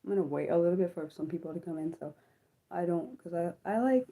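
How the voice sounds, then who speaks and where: A woman in her thirties hosting a personal livestream from home. She speaks haltingly, with many ums and apologetic self-corrections, and her voice sounds slightly sick. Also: lowish voice, dark tone. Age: 30s. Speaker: livestream host. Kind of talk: personal reflective livestream talk.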